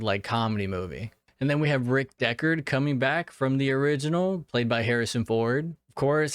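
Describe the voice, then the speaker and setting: A man's voice: a little high, no echo, clean studio sound. A young man hosting a solo podcast, gushing with enthusiasm and swearing casually as he reviews films. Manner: enthusiastic, gushing, profane asides. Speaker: podcast host.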